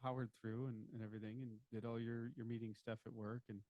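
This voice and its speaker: A man's voice: medium pitch, little pitch movement, fast talker, no room sound, highs cut off. A man co-hosting a casual podcast chat, rambling and joking as he talks, often restarting his sentences. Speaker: podcast co-host. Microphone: home podcast mic, video recorded.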